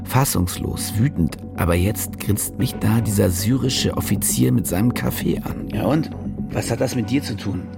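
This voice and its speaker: An actor in a radio drama playing a cynical German war reporter who talks in a sullen, combative, sardonic manner. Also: male voice, lowish voice, moderate pace, vocal fry, loud music behind the voice. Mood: wry, weary. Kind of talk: radio drama acting, naturalistic dialogue.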